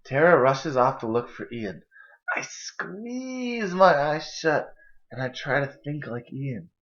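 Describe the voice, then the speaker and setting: A man's voice: a little high, very animated, takes it slowly, fairly bright tone. A young adult man reading a children's picture book aloud in a storytelling style, recorded indoors on a camera mic. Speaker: storybook reader. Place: indoor kitchen and living room. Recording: dull, lo-fi camera audio.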